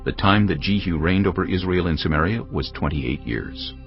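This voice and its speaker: A man narrating scripture in a steady, measured reading for a dramatized audio Bible. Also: low-pitched, lo-fi sound, soft background music.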